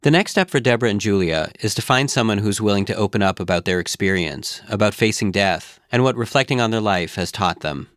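A man narrating a podcast from a script in a brisk, wry manner. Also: lowish voice, lively intonation, a bit bright, clean tone.

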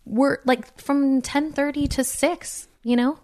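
A raspy, hoarse woman in her thirties, co-hosting a podcast in casual chat and filling her talk with "like". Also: high-pitched, steady tone, slow, darkish tone. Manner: chatty, full of "like" fillers. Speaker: podcast co-host.

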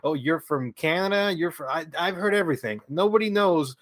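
A man's voice: on the high side, animated, fairly quick, no echo, clean studio sound. A man from Venezuela, a podcast guest telling stories in a rambling, chatty way with frequent laughter and restarts. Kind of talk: casual conversational storytelling.

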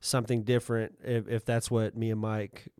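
A man's voice: fairly low pitch, little pitch movement, moderate pace, darkish tone, clear, resonant tone, no echo, studio quality. A man co-hosting a casual podcast, talking in a rambling way and rephrasing himself as he goes. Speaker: podcast co-host.

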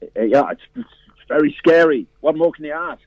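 A man's voice: on the high side, animated, normal speed, dry recording. A man who programs a film festival talks about films in a radio interview, stopping and restarting often with many fillers. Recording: tinny, dry phone line.

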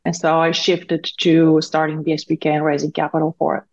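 A woman in her fifties, a native Bulgarian speaker, talks in a fairly low voice. She recounts things conversationally, as a guest in an interview. The sound is a little dull and lo-fi. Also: completely flat delivery, a little fast, darkish tone. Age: 50s. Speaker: interview guest, tech founder and CEO.

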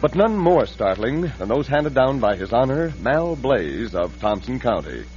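A man's voice: medium pitch, very animated, unhurried, darkish tone, highs cut off, slight background noise. A man narrating an old-time radio show with a dramatic, polished announcer's delivery. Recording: band-limited old radio broadcast. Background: music.

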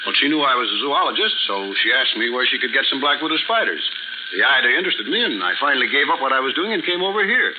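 Man acting a lively, hesitant partner on old radio. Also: medium pitch, fast, narrow, tinny audio, close to an everyday voice. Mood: guarded. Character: hesitant, defensive male zoologist business partner. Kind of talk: 1940s radio drama acting. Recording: noisy, band-limited 1940s radio recording.

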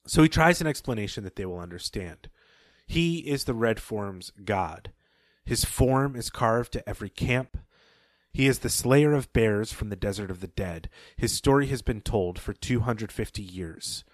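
Guy with a lowish voice recapping a story for a podcast, dry close mic. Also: no echo. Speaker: podcast co-host.

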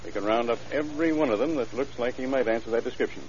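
An actor playing a matter-of-fact male police detective in an old radio drama, laying out facts in a dry, deliberate way. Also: medium pitch, a little mellow, natural voice. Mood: businesslike. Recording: muffled, hissy old radio recording.

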